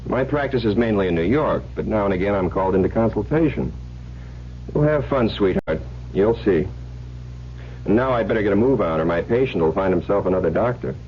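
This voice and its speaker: Actor as a breezy, low-voiced husband, radio drama. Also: male voice, expressive, a little fast, close to an everyday voice. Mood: breezy, affectionate. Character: breezy, affectionate husband, a travelling consultant doctor. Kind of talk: 1970s radio drama acting. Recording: muffled, noisy old radio recording.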